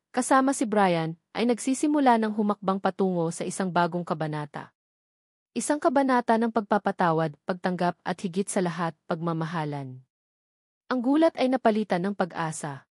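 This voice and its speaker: A woman's voice: medium pitch, a little mellow, dry recording, studio quality. A woman narrator reading a scripted romantic drama story in a dramatic storytelling style.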